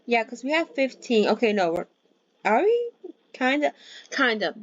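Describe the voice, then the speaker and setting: A woman's voice: on the high side, very animated, moderate pace, no room sound. A woman hosting a book-reading channel, chatting casually and rambling to her viewers with many restarts and ums before she reads aloud. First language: not Thai. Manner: chatty and rambling, frequent restarts and "um"s, laughs at herself. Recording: dull dry home recording.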